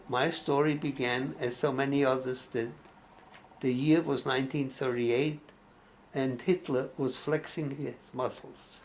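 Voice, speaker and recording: A man's voice: mid-range voice, slight background noise, low-quality recording. A man, reading his life story aloud and often stopping to restart a word. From Austria. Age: 90s. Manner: halting, restarts words mid-phrase. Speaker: memoir narrator.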